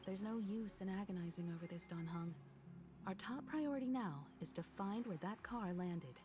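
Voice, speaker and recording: An actress playing a calm, decisive leader in a video game, speaking formally and steadily. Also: female voice, mid-range voice, moderate pace, background noise, close to an everyday voice. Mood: calm, resolute. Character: calm, decisive woman leader in space adventure. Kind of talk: scripted video game dialogue.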